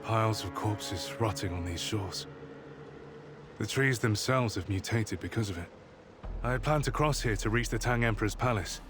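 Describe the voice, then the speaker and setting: A man's voice: fairly low pitch, moderate pace, over music, close to an everyday voice. An actor playing a plain-spoken, pragmatic but caring male wanderer in a video game, clipped and matter-of-fact. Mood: somber. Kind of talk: video game cutscene acting.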